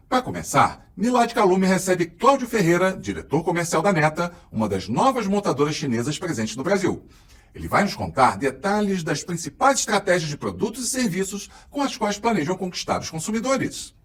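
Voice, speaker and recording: A man hosting a talk show about cars, introducing guests and sponsors in an enthusiastic, energetic announcer delivery. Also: on the high side, far from the mic. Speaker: show host. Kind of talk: lively program host intro.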